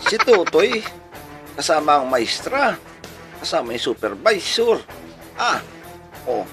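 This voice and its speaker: A man's voice: on the high side, very animated, slow. A man with a Filipino accent telling a comic story as a host, in a playful, chuckling manner full of rhetorical questions. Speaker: radio-style channel host. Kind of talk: comedic storytelling. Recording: music playing behind the voice. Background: music.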